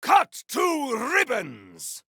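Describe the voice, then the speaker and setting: Battle-hungry video game warrior: forceful, gravelly, theatrical and declamatory, in a very dry recording. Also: male voice, very high-pitched, wildly swooping pitch, very slow, dry recording, full character voice. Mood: battle fury. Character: proud, battle-hungry warrior with grandiose, formal speech. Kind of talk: video game combat barks.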